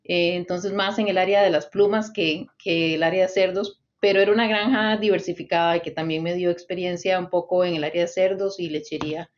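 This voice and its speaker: A woman's voice: on the low side, fairly even intonation, brisk, few pauses, muffled recording. A Costa Rican woman in her forties, a nutrition consultant answering interview questions thoughtfully, with frequent restarts and fillers. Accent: Costa Rican Spanish. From Costa Rica. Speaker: swine nutrition consultant, guest.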